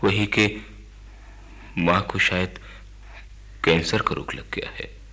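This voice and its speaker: A worried, dutiful husband and son in a radio drama. His voice is fairly low, with a tense, bright edge and some huskiness. He speaks earnestly and deliberately. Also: fairly even intonation, heavily acted. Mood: grave. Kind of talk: Hindi radio drama acting.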